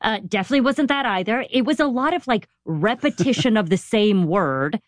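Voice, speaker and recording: Chatty, expressive female co-host. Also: on the high side, a little fast, dry recording, studio quality. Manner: candid, chatty, self-deprecating. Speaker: podcast co-host. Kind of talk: casual chat.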